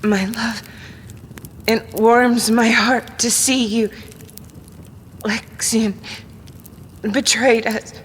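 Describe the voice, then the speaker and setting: A woman's voice: on the high side, fairly even intonation, extremely slow, pauses often, long reverb tail, slight background noise. A woman playing a wounded, loving heroine in a fantasy audio drama, tender and strained. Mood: pained tenderness.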